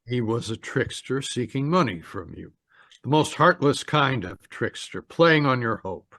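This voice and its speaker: A stern guide of the afterlife, acted by a man, speaks in a middle register with formal, measured calm. The delivery is old radio drama, solemn and unhurried, in a very dry recording. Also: medium pitch, dry recording. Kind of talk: old-time radio mystery acting.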